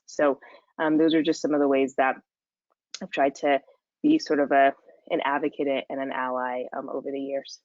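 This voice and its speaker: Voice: medium pitch, little pitch movement, moderate pace, darkish tone, no room sound. Earnest surgeon on a lo-fi call. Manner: earnest, advising in numbered points. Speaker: surgeon, panelist. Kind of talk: panel discussion.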